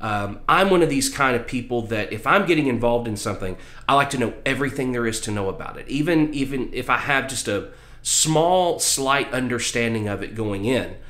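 A man's voice: mid-range voice, lively intonation, fairly quick, a bit bright, echoey room. A man in his thirties giving earnest motivational advice to camera as a vlog host, explaining things directly to viewers. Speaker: vlog host, music business mentor. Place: small room at home with posters, indoors.

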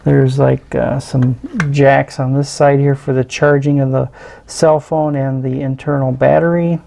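A middle-aged man giving casual, unscripted hands-on commentary in a halting, hesitant way as he presents a teardown. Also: medium pitch, little pitch movement, creaky, dark tone. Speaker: electronics hobbyist presenter. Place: indoors at a tabletop.

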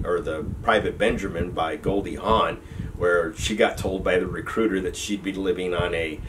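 Deep nasal man with a cold. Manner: rambling, conversational, self-correcting. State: recovering from a cold. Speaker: retired army chief telling stories. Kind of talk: casual storytelling to webcam. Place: small room at home, indoors. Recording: distant mic with background hiss.

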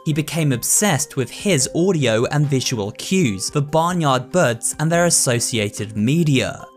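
Man, steady scripted lore narration, mid-pitched voice, faint music behind. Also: unhurried. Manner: steady, explanatory.

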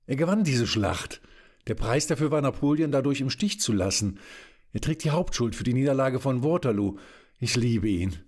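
An actor playing an effeminate, war-obsessed Prussian count with an affected, aristocratic manner in an audio drama. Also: male voice, medium pitch, animated, normal speed, a little mellow, close to an everyday voice. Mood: delighted. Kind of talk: German audio drama acting.

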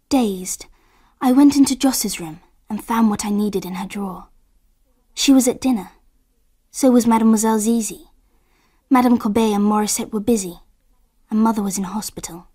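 An actress playing a sensitive girl on the edge of womanhood in a radio drama, with hushed, intimate, wistful delivery. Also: fairly high pitch, normal speed, pauses often, husky. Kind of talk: radio drama, first-person narration.

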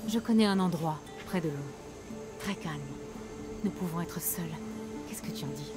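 A woman's voice: lowish voice, normal speed, close to an everyday voice. Tender young huntress, played by a woman, over music. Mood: tender, intimate. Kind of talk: dramatic game dialogue. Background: background music.

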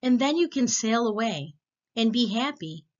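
A woman's voice: on the high side, lively intonation, normal speed, highs cut off, voice pushed up, natural voice. A woman voicing a loving, generous tree in a children's storybook, coaxing and sing-song. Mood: giving, gentle. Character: loving, generous female tree, gentle and eager to give. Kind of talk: children's storybook read-aloud.

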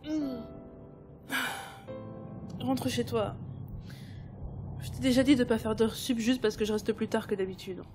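A woman playing a sharp-tongued, sardonic book editor in an audio drama. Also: fairly high pitch, fairly even intonation, a little slow, pauses often. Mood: tired, gentle. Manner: cutting, sardonic. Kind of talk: French audio drama acting. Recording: music behind the voice.

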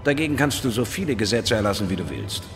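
Low-voiced, wry space biologist. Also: male voice, very animated, fast talker. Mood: wry, emphatic. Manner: explanatory, dry, wry. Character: confident, worldly male biologist and space investigator. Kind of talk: radio drama dialogue and first-person narration. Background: music.

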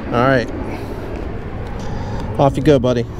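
A man's voice: medium pitch, takes it slowly, background noise. A young man chatting casually while fishing outdoors and talking to the fish he catches. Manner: excited and teasing, talks to the fish. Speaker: fishing vlogger. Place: outdoors at a pond and on a small boat on a lake.

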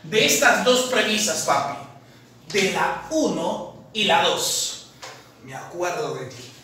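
A man teaches at a chalkboard in a lively, questioning way. His voice sits high and sounds sharp and bright. He is caught by a far-off camera in a very echoey room with a faint hiss underneath. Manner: lively, asks questions and answers them himself, calls the viewer "papi". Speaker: math tutor at an admissions academy. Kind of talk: chalkboard lesson solving exam problems. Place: classroom at a chalkboard, indoors.

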